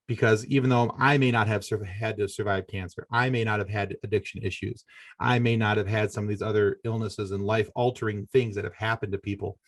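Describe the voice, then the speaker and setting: A man's voice: lowish voice, dry recording. A middle-aged American man from Michigan, a podcaster, giving earnest, rambling conversational answers as an interview guest. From Michigan, United States.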